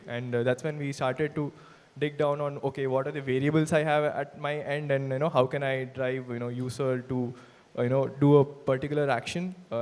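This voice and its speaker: A man working as a performance marketer, speaking on stage at an event with many fillers and pauses. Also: mid-range voice, a little mellow. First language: not Hindi. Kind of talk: stage talk. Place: large event hall. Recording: reverberant hall PA sound.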